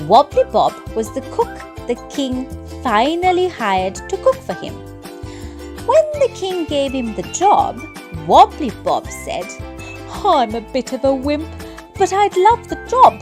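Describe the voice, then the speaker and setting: A woman reading simple word definitions for children in a careful, clear, explanatory way. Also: high voice, huge pitch swings, slow pace, over music. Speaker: vocabulary presenter.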